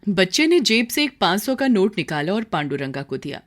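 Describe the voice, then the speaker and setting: A woman's voice: medium pitch, sing-song, fairly quick. A woman storyteller reading scripted dramatic narration for a moral story.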